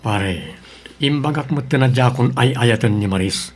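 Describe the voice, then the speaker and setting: A man's voice: mid-range voice, moderate pace, natural voice. A man with an Ilocano accent playing a stubborn son and cold husband in an audio drama. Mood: firm, cold. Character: stubborn adult son, cold husband leaving his pregnant wife.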